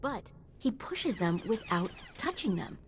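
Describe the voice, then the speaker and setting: A woman's voice: medium pitch, very animated, a little slow, slight background noise. A woman playing an eager, nerdy scientist in a video game, precise and absorbed. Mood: eager, intent. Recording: game music underneath.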